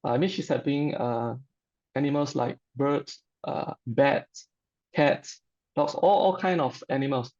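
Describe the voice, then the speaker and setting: A middle-aged man with a Malaysian accent tells a personal story informally. His voice sits at a medium pitch with a creaky, gravelly edge. He speaks haltingly, with frequent hesitations, and the audio is dull and lo-fi. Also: darkish tone. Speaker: customer giving a testimonial.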